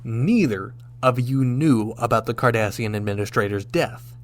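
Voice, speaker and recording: A man playing a confident, shrewd starship captain in a sci-fi radio drama, speaking with deliberate, commanding rhetorical pauses. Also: medium pitch, close to an everyday voice. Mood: persuasive, grave.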